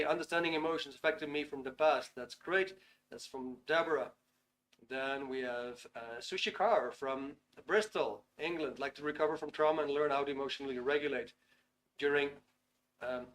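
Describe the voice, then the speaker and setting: A man's voice: on the high side, a bit bright. A man with a Dutch accent, hosting an online webinar in a chatty, upbeat way and reading out viewer comments. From Netherlands. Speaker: webinar host.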